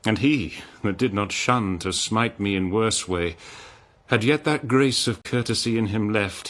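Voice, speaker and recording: Very deep, grave betrayed king. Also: fairly bright tone. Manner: grave, declamatory. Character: betrayed, sorrowful king, stern and noble, facing doom. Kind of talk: dramatic verse radio drama.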